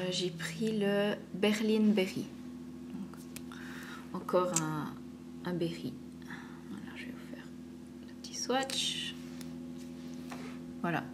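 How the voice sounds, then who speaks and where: A chatty woman with a Swiss French accent talks through her beauty haul at an even middle pitch, leaving in plenty of fillers and slipping into soft whispers now and then. A clear hiss and hum sit behind her voice in a home recording. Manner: chatty, many fillers, drops to whispers while swatching. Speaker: beauty vlogger. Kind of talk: casual haul chat.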